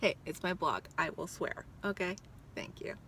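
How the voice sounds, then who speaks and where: Woman in her 30s vlogging, raspy gravel in her voice, mid pitch, lots of ums, swears a bunch, faint hiss behind. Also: lively intonation, moderate pace, sharp tone. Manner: blunt, swears freely, many ums. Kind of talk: casual rambling vlog chat. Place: outside a doctor's office.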